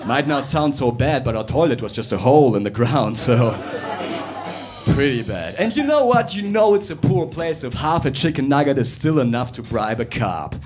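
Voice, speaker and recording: A young man from Russia performing a stand-up comedy set in a club, talking animatedly and swinging between quick and drawn-out delivery. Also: medium pitch, lively intonation, a crowd in the background. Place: comedy club with live audience.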